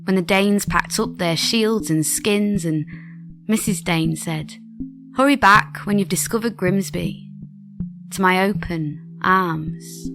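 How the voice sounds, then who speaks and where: Slow, lowish woman reciting poetry over music. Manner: measured, rhythmic, storytelling delivery. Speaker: guest reader.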